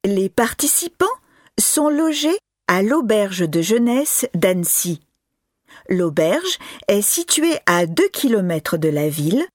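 A woman reading a scripted informational announcement with clear articulation. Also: medium pitch, no echo, studio quality. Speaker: announcement reader.

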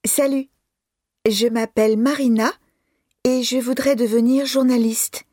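A woman playing a twenty-year-old student in a scripted listening exercise, speaking in a measured, carefully articulated way. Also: a little high, steady tone, warm tone, dry recording, clean studio sound. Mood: earnest, friendly. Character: twenty-year-old bilingual female student aspiring to journalism. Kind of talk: scripted listening-exercise monologue, slow and clear.

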